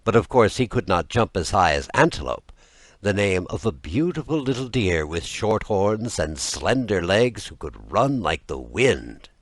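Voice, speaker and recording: A man with a low-pitched voice narrates a fairy tale in a close, dry recording. He reads expressively and deliberately, with a lively rise and fall in his intonation. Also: dry recording. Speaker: audiobook narrator.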